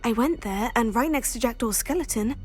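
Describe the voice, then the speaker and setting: Young witch student , female actor, slightly high voice, courteous, eager and sincere, fantasy game lead. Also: brisk, soft background music, close to an everyday voice. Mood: eager, recounting. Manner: polite, earnest. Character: teenage witch student, polite, earnest, eager. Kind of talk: cinematic fantasy game acting.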